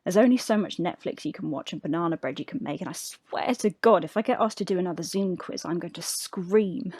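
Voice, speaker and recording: Woman played by an actress, flat and halting lockdown confessional, restless and guilty, close dry sound. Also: lowish voice, husky, no room sound. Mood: frustrated, weary. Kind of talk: acted confessional diary monologue.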